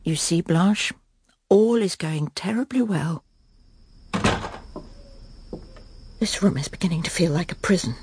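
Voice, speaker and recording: A woman playing a prim, weary, middle-aged English lady in a radio drama, halting and polite, murmuring to herself with an English accent. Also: on the low side, a little mellow, a little hiss or hum, natural voice. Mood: anxious confiding. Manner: polite, halting, breathy murmured self-talk. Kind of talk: radio drama acting, intimate monologue and dialogue.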